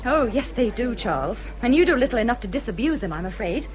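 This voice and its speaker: Peevish, high, animated English wife. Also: female voice, fast, low-quality recording. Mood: wounded, self-pitying. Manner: querulous, fretful, insistent. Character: peevish, self-pitying young married Regency lady. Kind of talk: period radio drama acting.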